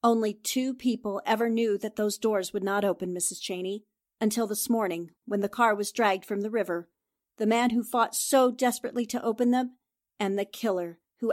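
A woman playing a shrewd, composed newspaper reporter, measured and accusatory, in 1940s-style radio drama. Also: a little high, natural voice.

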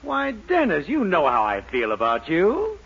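A man playing an exasperated, sarcastic middle-aged boss with drawn-out emphasis in a 1940s radio sitcom. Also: high-pitched, huge pitch swings, gravelly, fairly bright tone, a little hiss or hum, full character voice. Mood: dry, sarcastic. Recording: dull old radio recording.

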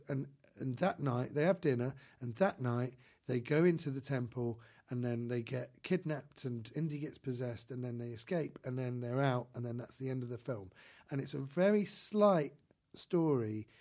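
A man co-hosting a comedy podcast, talking casually and enthusiastically about films. He rambles, restarts his sentences and piles up fillers. His voice sits at an ordinary middle pitch. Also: darkish tone. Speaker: podcast co-host.

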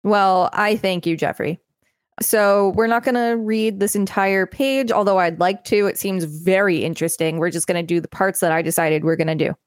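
A woman co-hosting a podcast, reading an article aloud in a measured, deliberate way and breaking off for chatty asides. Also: medium pitch, clear, resonant tone, no echo, clean studio sound.

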